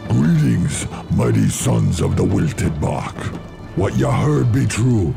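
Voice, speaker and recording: Loud music plays under a deep game voice. He is a battle-hungry troll chieftain with a gravelly edge. He rallies warriors in a swaggering, commanding troll patois. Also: expressive, slow pace, full character voice. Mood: proud, defiant.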